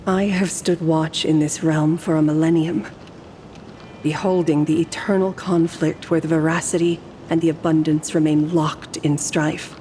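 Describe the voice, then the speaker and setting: Woman acting a serene, slow-spoken ancient guardian painter. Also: on the low side, a little hiss or hum, close to an everyday voice. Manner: calm, formal, lyrical. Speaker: video game voice actor. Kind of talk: poetic scripted game dialogue. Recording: music under the voice.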